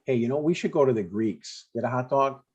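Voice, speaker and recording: A man's voice: medium pitch, brisk, darkish tone, husky, lightly acted. An older man playing a blunt, stubborn but affectionate father in a comedy table read, over dull stream audio. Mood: casual, stubborn. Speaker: amateur actor.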